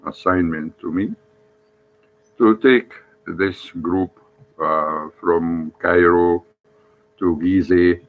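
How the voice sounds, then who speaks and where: Man, Egyptian accent, second-language English, slow unhurried delivery, halting with uh's, thin phone-line sound. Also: sits low. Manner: hesitant, many fillers and restarts. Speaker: former Egyptologist and tour guide. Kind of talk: unscripted personal storytelling. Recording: tinny phone-like audio.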